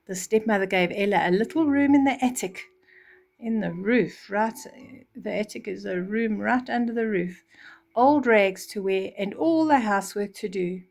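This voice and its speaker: Older female bedtime storyteller, mid-pitched, reading to young children and explaining as she goes. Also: moderate pace, vocal fry, a little mellow.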